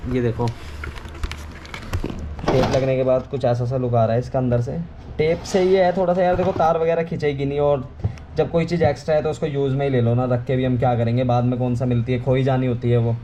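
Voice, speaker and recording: Casting: male how-to vlogger, average pitch, informal and explanatory, with some background noise. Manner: informal, explanatory, repeats himself. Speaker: video creator giving a how-to. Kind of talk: casual hands-on tutorial chat. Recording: clearly noisy ambient background.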